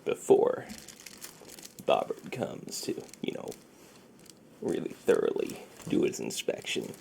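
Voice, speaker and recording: A man doing a soft-spoken ASMR roleplay as a shady, friendly underground dealer, with slow folksy patter that often drops to a near-whisper. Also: a little hiss or hum. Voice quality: gravelly, low, often breaking into near-whisper.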